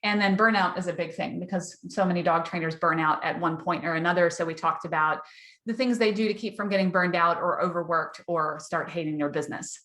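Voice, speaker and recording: A woman's voice: fairly low pitch, fairly even intonation, a little fast, a bit bright. Woman coach losing her voice, echoey room. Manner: chatty, upbeat, full of client success stories. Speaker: dog trainer business coach, webinar host. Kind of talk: casual webinar talk.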